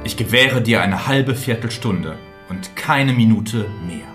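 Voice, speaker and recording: An actor playing a cruel, stone-hearted knight and husband in a fairy tale, commanding, threatening and deliberate. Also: male voice, fairly low pitch, lively intonation, over music. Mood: menacing. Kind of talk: dramatised fairy tale acting.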